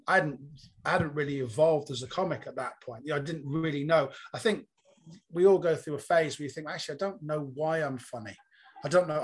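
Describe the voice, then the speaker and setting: A man's voice: fairly high pitch, a bit bright, slightly rough. A man, a comedian being interviewed, talking in a reflective, rambling storytelling way. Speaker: comedian guest. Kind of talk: casual interview chat.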